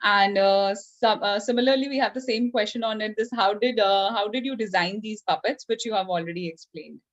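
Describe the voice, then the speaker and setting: Female event host, mid-pitched, polite, moderating audience questions over a dull online connection. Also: fairly quick. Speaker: host relaying children's questions. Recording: dull lo-fi online call audio.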